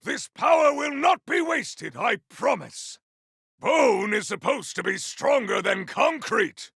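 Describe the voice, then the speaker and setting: An actor voicing a fierce, honorable cyborg warrior in a video game, speaking in a theatrical, grandiose, gravelly way. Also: male voice, very high-pitched, big pitch swings, takes it slowly, fairly bright tone, no room sound, raised voice, studio quality, full character voice. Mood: battle fury. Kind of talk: video game combat barks.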